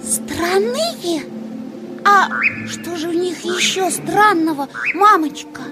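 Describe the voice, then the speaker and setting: A woman voicing an excitable, easily scared and curious little male hedgehog in a children's audio play, exclaiming and stammering. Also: very high-pitched, slow pace, over loud music, full character voice. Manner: exclaiming, stammering when startled.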